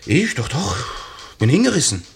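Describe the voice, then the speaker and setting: A defensive, irritable young husband. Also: male voice, a little high, huge pitch swings, normal speed, creaky, slight background noise, exaggerated voice. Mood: irritated. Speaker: husband in a radio crime play. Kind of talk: vintage radio play acting.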